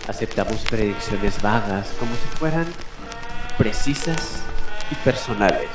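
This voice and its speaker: A man's voice: lowish voice, lively intonation, measured pace. Male late-night mystery radio host, echoey. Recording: roomy echo, music behind. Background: music.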